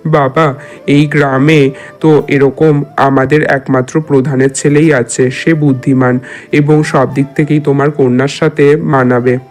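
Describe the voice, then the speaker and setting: A man's voice: mid-range voice, flat delivery, a little slow, soft background music, natural voice. A man playing a helpful, avuncular old village man in a cartoon. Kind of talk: cartoon character acting in a Bengali moral tale.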